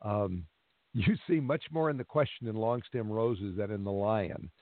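A man hosting a radio music show, interviewing a guest in a relaxed, conversational way. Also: fairly low pitch, measured pace, dry recording. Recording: tinny phone-like line.

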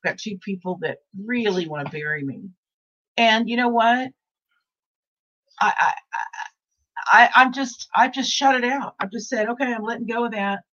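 A woman's voice: fairly high pitch, moderate pace, pauses often, a bit bright, muffled recording. A woman in her sixties hosting a casual talk show, rambling and repeating herself with many restarts. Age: 60s. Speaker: host. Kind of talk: casual podcast chat.

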